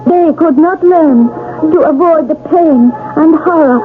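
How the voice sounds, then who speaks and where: High-voiced, doom-laden wife in a trance. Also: female voice. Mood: bleak, ominous. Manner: solemn, halting, dramatic delivery. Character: troubled wife in a trance, bleakly foretelling doom. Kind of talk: 1970s radio mystery acting. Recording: tinny old radio broadcast, music behind.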